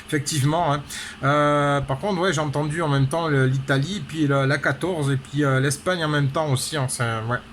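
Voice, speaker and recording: A man's voice: a little high, fairly even intonation, moderate pace, fairly bright tone, a little hiss or hum. A man chatting casually as an amateur radio operator, rambling along with many repeated tag words. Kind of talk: casual radio contact chat.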